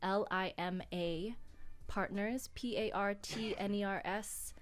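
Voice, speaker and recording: A woman guest on a radio show, calmly explaining business funding in a relaxed, explanatory way and spelling things out slowly. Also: mid-range voice. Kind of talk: radio studio chat.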